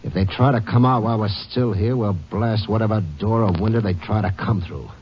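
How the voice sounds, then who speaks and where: A man's voice: on the low side, brisk, breathy edge. An actor playing a ruthless gang-boss gunman in a 1950s radio drama, giving curt, threatening orders. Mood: cold menace. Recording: dull 1950s radio broadcast, faint hiss.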